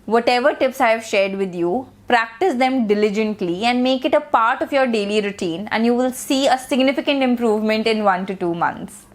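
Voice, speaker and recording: A young woman whose first language is Hindi, giving steady, clearly spoken communication tips as a coach. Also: a little high, normal speed. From India. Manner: steady, instructive, clearly enunciated. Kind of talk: scripted advice talk to camera.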